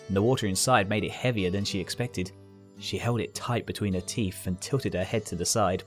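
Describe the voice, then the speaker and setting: A man reading a scripted fan fiction story as its narrator, with soft guitar music behind him. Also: lowish voice.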